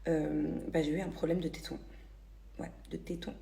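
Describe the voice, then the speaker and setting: Woman, gravelly voice, from the Paris region, chatty vlog, phone mic, full of fillers. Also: deep voice, little pitch movement, moderate pace, dark tone, slight background noise. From Paris region, France. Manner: chatty, many fillers and restarts. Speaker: vlogger. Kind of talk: casual storytelling to followers.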